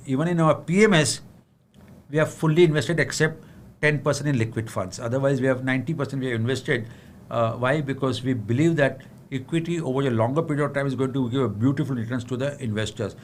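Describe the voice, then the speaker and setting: A man's voice: medium pitch, a little hiss or hum. A middle-aged man with an Indian English accent answers viewer questions about the budget, speaking frankly with many fillers and restarts, adding tag questions and sometimes switching into Hindi. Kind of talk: livestream Q&A on the budget.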